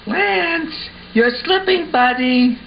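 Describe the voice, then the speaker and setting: A man's voice: extremely high pitch, animated, takes it slowly, gravelly, heavily acted. A man playing a skeptical, questioning young boy, a teasing best buddy in an amateur puppet show. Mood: disbelieving. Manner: incredulous, questioning. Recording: muffled audio with faint background noise.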